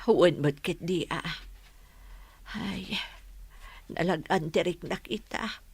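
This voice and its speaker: An actress with an Ilocano accent playing an aggrieved, determined village daughter in a radio drama. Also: female voice, fairly low pitch, takes it slowly, breathy edge, full character voice. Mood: grieving.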